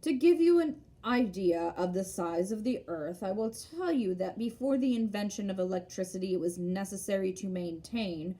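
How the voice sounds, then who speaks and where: Mellow woman reading a storybook aloud. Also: mid-range voice, animated, normal speed, few pauses. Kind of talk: expressive storybook narration.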